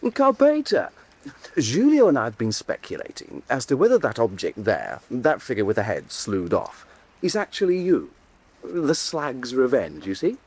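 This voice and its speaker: A man's voice: medium pitch, huge pitch swings, normal speed, a little mellow, breathy edge, heavily acted. An actor playing a disdainful, mocking male artist in a radio drama, with languid, ornate phrasing. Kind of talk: theatrical radio drama acting.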